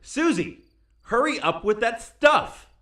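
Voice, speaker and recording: A man's voice: very high voice, big pitch swings, slow, pushed and bright, heavily acted. A man in an audio play acting a pompous, irritable middle-aged scientist with a stern, formal, exasperated manner. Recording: noisy bed of night ambience, crickets.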